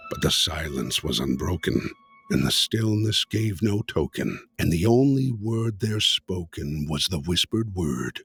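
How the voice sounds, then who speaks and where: Slow, brooding deep-voiced male reader. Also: expressive, gravelly, slightly rough, soft background music. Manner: slow, brooding, theatrical delivery with hushed low passages. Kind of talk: dramatic poetry reading.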